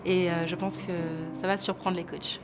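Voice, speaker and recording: A young woman in her twenties from France, speaking softly and with hesitations as a talent show contestant in an interview. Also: fairly low pitch, level tone, moderate pace, music in the background. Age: 20s. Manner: soft-spoken, reserved, many hesitations. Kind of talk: interview to camera.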